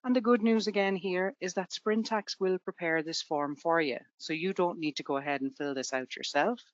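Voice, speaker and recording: A woman's voice: fairly low pitch, normal speed, dry recording, dull audio. Irish woman explaining tax forms. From Ireland. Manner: conversational, explains with everyday examples. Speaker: tax webinar presenter. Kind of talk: explaining to students in a webinar.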